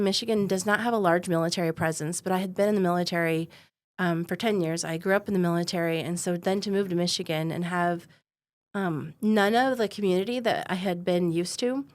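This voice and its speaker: Laid-back woman with a low voice. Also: a little fast. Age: 40s. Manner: easygoing, laughs often, many um fillers. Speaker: guest, defense company founder. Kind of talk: conversational interview storytelling.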